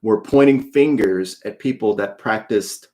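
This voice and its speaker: A man's voice: fairly low pitch, measured pace, darkish tone. A man, a children's author, speaking to an online audience in a live read-aloud in a friendly, direct way. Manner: friendly, chatty, addressing viewers directly.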